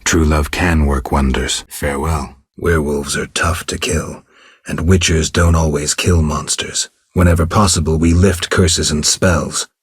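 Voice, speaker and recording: A man playing a stoic, gruff, world-weary monster hunter in a video game, with terse, deadpan delivery and a gravelly voice. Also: a little slow, no room sound, studio quality, exaggerated voice. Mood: calm, matter-of-fact. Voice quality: gravelly, husky low voice. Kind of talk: video game character dialogue.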